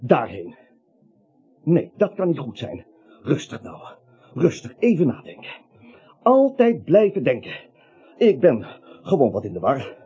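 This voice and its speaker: A man's voice: mid-range voice, huge pitch swings, a little slow, long pauses, dark tone, husky, exaggerated voice. An actor playing a whining, wisecracking nervous man wrongly accused, in a stagey comic radio play. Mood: sarcastic, weary. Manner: whining, pleading, dryly sarcastic. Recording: dull lo-fi radio play recording.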